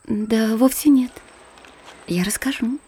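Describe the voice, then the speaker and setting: Reserved young noblewoman for a radio play, mellow, breathy and confiding. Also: a little high, slow, heavily acted. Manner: soft, restrained, confiding. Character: young reserved married princess, gentle. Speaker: actress in audio play. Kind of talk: theatrical radio play acting.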